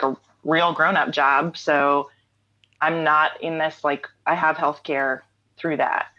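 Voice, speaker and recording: A woman's voice: low-pitched, bright, forceful tone, dull audio. A woman guest speaking candidly in a casual interview, reflective, with frequent ums and restarts. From North Carolina. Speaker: interview guest, singer-songwriter.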